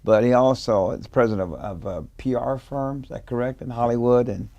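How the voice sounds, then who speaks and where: A man's voice: mid-range voice, a little fast, vocal fry, dry recording, dull audio. A man hosting an interview show, eager, interjecting and stumbling over his words. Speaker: talk show host. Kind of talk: interview host.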